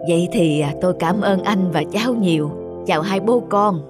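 A man's voice: sits high, moderate pace, over loud music, close to an everyday voice. A man voicing a weary old rice porter in a cartoon, polite, humble and plaintive. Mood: grateful. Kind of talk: cartoon dubbing.

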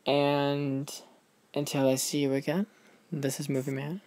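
A man's voice: on the high side, level tone, a little slow, a little mellow. A man giving a casual film review in a vlog, emphatic and gushing, often restarting his sentences.

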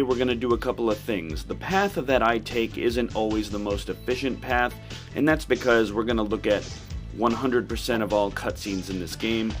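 The man on a retro gaming channel, talking viewers through a level in a lowish voice over soft game music. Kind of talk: casual playthrough commentary.

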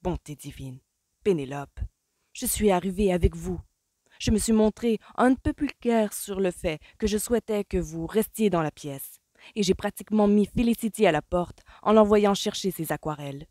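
A woman reading aloud, voicing a hot-tempered young gentleman who barks out his lines. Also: sits low, moderate pace, no room sound. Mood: indignant. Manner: barking, forceful outbursts.

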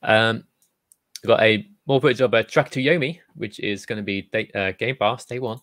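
A young man in his twenties reading out gaming news on a podcast. His voice sits low and sounds dry and close. He is upbeat and laughs easily. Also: normal speed, a bit bright, no room sound. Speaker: podcast co-host, news reader. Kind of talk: casual chat.

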